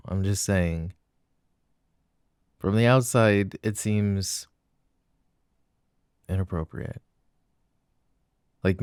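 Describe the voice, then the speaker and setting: Low, gravelly jealous boyfriend, acted by a man. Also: dry recording, clean studio sound. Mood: jealous, guarded. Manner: hesitant, earnest, soft-spoken pleading. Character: jealous, insecure but devoted young boyfriend. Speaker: roleplay audio voice actor. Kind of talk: intimate boyfriend roleplay ASMR, acted monologue.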